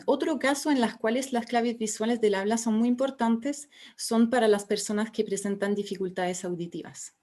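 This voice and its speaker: A woman's voice: on the high side, a little mellow. Woman giving an academic lecture. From France. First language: French. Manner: explanatory, pauses with fillers and restarts. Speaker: researcher giving a symposium talk.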